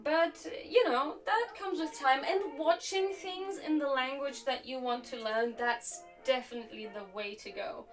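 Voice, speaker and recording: A woman's voice: high voice, unhurried, few pauses. A young woman, a native speaker of Slovak who grew up in Germany, chatting casually and reflectively while crafting on a live stream, with a slightly sore throat. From Slovakia, raised in Germany. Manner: relaxed, reflective, chatty with frequent fillers. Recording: distant mic with faint music.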